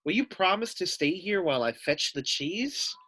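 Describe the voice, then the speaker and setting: A man's voice: high-pitched, animated, normal speed, bright, forceful tone, dry recording, pitched above the performer's own voice, full character voice. A man voicing a hungry, gullible wolf who grumbles and complains in a children's storybook. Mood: suspicious.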